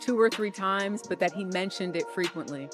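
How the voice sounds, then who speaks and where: A woman's voice: medium pitch, normal speed, music in the background. A woman giving an unscripted report as a court correspondent in a news interview.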